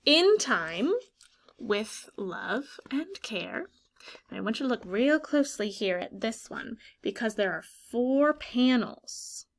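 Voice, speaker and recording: A woman teacher doing an interactive read-aloud for young children, expressive and instructive, stressing key words and pausing after questions. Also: on the high side.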